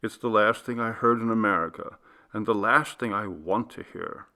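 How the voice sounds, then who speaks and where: A man voicing a nervous, rueful older gentleman passenger in an audiobook story, given to puzzled exclamations. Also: on the low side, moderate pace. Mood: exasperated, rueful.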